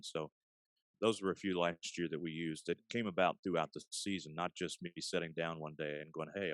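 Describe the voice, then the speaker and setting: Very deep-voiced man, dry sound. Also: a bit bright, clear, resonant tone, dry recording. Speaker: sports arena announcer being interviewed. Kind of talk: conversational interview answers.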